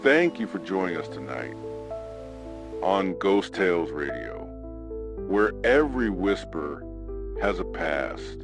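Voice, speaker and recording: A man hosting a scripted horror radio show, telling a ghost story in a dramatic, ominous way with weighted pauses. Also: low-pitched, very animated, over music.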